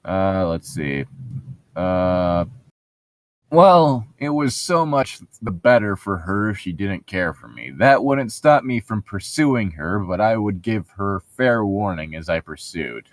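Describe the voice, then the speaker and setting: A man's voice: low voice, lively intonation, slow, dry recording. A young man casually bantering and reading aloud in a mocking, sarcastic way, swearing freely. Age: young adult. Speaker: co-host reading and mocking a book.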